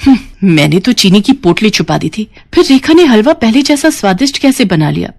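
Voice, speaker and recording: A woman's voice: mid-range voice, sing-song, brisk, darkish tone, slight background noise. A woman voicing a jealous, scheming sister-in-law musing slyly to herself in a moral story. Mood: sly, suspicious.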